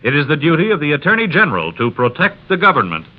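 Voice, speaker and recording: A man's voice: fairly high pitch, wildly swooping pitch, fast talker. A man making a solemn, formal declaration in the style of an old radio broadcast. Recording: dull old radio recording.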